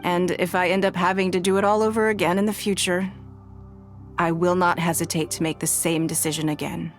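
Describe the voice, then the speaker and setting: An actress voicing a poised, wealthy businesswoman and leader in a video game, composed and measured, with an elegant, self-assured manner. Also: lowish voice, flat delivery, soft background music, natural voice. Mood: wistful, reflective.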